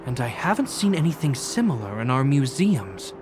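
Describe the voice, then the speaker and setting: Stern guard captain, acted by a man, mid-pitched. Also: big pitch swings, background noise, close to an everyday voice. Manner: formal, measured, authoritative. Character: stern, dutiful male guard captain, solemn and principled. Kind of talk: video game voice acting. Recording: game music behind the voice. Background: orchestral violin music.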